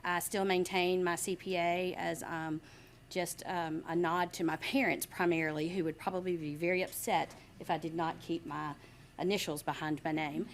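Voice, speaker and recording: A woman from Mississippi who runs a state Medicaid program introduces herself in a chatty, self-deprecating way and says her voice gets loud. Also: lowish voice, little pitch movement, normal speed, a little hiss or hum. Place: meeting room.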